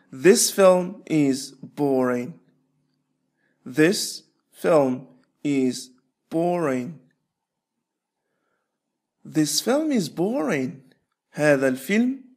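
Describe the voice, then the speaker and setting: A man teaching English, repeating short phrases slowly and switching to quick explanations. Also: fairly high pitch, darkish tone. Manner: repeats phrases slowly, stretching words apart; explains rapidly between drills. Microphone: voice-over.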